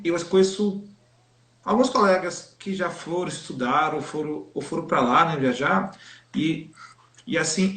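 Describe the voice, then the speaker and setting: A man's voice: fairly high pitch, a bit bright, echoey room. A Brazilian man asking a teacher questions in a live stream conversation, hesitant and searching for words. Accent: Brazilian Portuguese. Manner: hesitant, many fillers and restarts. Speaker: interviewer.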